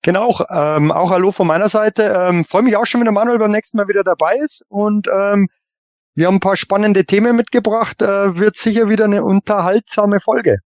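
Slightly high-voiced man chatting, halting. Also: no room sound, clean studio sound. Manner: halting, repeats words mid-sentence. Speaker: podcast co-host. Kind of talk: casual chat.

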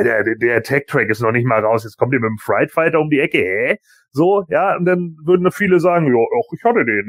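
A man's voice: fairly high pitch, no echo, studio quality. A man in a casual podcast discussion, speaking colloquially and emphatically with frequent tag questions. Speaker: podcast panelist.

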